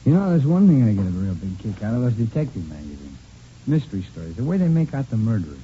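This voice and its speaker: A cocky, talkative male murder suspect in an old radio police drama. His pitch moves around freely as he rambles with casual, unrepentant ease. The vintage recording is dull, with faint noise behind him. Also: very animated, quick pace, dark tone. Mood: chatty, self-satisfied. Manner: rambling, casual, repeats himself with stammered restarts.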